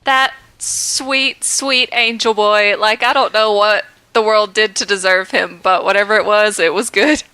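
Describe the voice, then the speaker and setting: A woman's voice: mid-range voice, steady tone, measured pace, few pauses, bright, forceful tone, smooth, dry recording. A woman co-hosting a podcast in casual chat, giggly and enthusiastic as a fan. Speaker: podcast co-host.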